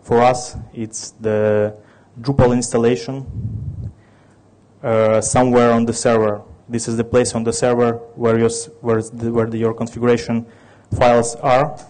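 A man's voice: medium pitch, little pitch movement, moderate pace, darkish tone, rough recording. A man in his thirties from Ukraine with a Russian accent, giving a technical conference talk with many restarts and fillers. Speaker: conference speaker.

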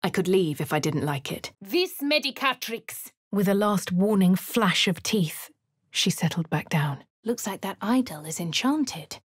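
An actress playing a posh, composed fantasy adventurer in a video game, with a British accent and a refined, measured, dramatic delivery. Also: female voice, mid-range voice, animated, husky, dry recording, clean studio sound. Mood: incanting. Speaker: voice actor for a video game player character. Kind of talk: scripted game voice lines and narration.

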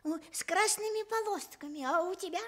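A woman playing an eager little male plush bear in a children's radio play, with a childlike, lively manner. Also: very high voice, fairly quick. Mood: excited. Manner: childlike, lively, sing-song.

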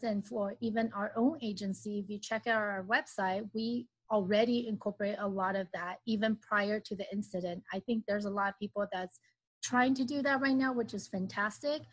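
A woman's voice: medium pitch, low-quality recording. Female panelist, 30s, upbeat conversational delivery, earbud mic on a video call. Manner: upbeat, conversational, with "you know" fillers. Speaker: agency founder and panelist. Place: home office. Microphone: earbud headset on a video call.

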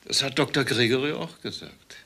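A blunt investigator in a crime radio drama. His mid-pitched voice is bright and forceful as he presses directly for answers. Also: expressive, normal speed, vocal fry. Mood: probing. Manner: direct, insistent. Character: blunt, probing male police investigator.

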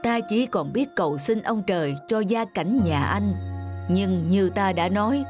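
Someone playing a grave old taoist master, measured talk, cartoon folk tale, music behind. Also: medium pitch, lively intonation, darkish tone, close to an everyday voice. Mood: relieved, gentle. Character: solemn old male Taoist sage. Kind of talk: animated folk tale dubbing.

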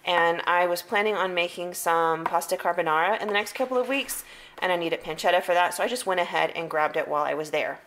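Bright-voiced chatty woman vlogger. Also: lowish voice, fast talker, a little hiss or hum. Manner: chatty, upbeat, repeats words for emphasis. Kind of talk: casual grocery haul chat. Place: home hallway and kitchen, indoors.

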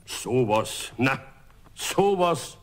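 An actor playing a gruff, exasperated police sergeant with a Bavarian accent, brusque and officious, in a children's radio play. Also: male voice, medium pitch, very animated, drawn-out words, noisy background.

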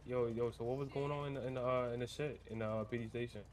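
A young man talking casually in street slang in an online game roleplay, halting and repeating words. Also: medium pitch, fairly even intonation, darkish tone. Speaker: player in a GTA roleplay server. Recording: background noise on game voice chat.

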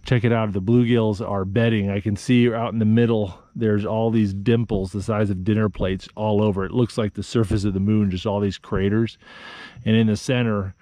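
A man in his thirties hosting an outdoor vlog, explaining bushcraft skills in a relaxed, practical way while out in a forest. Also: on the low side, moderate pace, no room sound. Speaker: outdoor channel host. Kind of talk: casual outdoor vlog narration. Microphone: action camera, worn on the body or close up.

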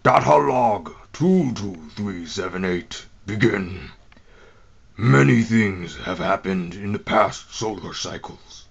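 A man voicing a solemn, weary robot leader dictating a war journal in grave, halting phrases, as in an animation audition. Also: fairly low pitch, expressive, gravelly, exaggerated voice. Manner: grave, halting, dramatic pauses. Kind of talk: dramatic monologue for animated film audition. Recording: dull, lo-fi home microphone.

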